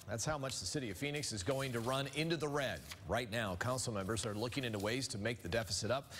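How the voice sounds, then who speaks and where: A man's voice: mid-range voice, a bit bright, a little hiss or hum. A man with an American accent reading scripted news as a television anchor. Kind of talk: scripted newscast narration.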